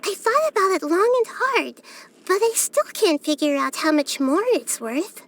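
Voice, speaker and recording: A small child-like goddess from a fantasy video game, her voice high and girlish. She speaks gently and thoughtfully, like someone far wiser than she sounds. Her phrasing is measured and earnest, with a steady curiosity about people and the world. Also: full character voice. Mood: earnest, wistful. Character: small wise young girl deity, gentle and curious. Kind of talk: scripted video game character voice lines.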